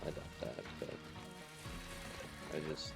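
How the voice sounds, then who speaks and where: A young man talking in a flat, monotonous way while playing a game at home on a desk mic. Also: slow. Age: young adult. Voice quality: gravelly low voice. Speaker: gamer vlogger. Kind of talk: casual gameplay commentary. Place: home room. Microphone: desk mic with noise suppression. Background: loud game music and gunfire.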